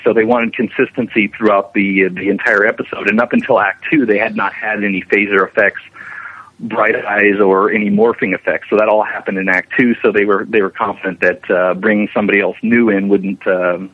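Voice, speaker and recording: A man's voice: fairly low pitch, husky. A man being interviewed as a special effects animator, explaining his work conversationally with frequent restarts. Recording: narrow, tinny phone-like line.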